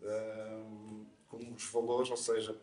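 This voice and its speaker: A man's voice: fairly low pitch, monotone, slow, darkish tone. A man with a European Portuguese accent giving an informal explanatory talk, conversational and didactic, often checking in with his listeners. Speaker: speaker presenting at a community conference. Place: indoor event room, live talk. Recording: echoey room, distant mic, faint noise.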